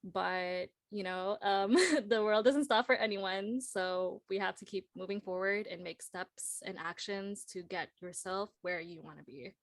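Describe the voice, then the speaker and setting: A woman's voice: mid-range voice, a little slow, hardly any pauses, no room sound. Young woman, casual talker, dry sound. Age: young adult. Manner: informal, peppers speech with "like". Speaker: guest speaker, social worker. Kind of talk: casual panel chat.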